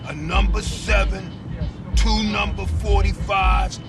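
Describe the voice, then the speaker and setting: An actor voicing a big, gluttonous gangster with an American accent in a video game. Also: male voice, sits high, steady tone, takes it slowly. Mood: hungry, insistent. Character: big greedy male gangster friend, gluttonous. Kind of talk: video game cartoonish drama acting. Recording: distant, loud music behind.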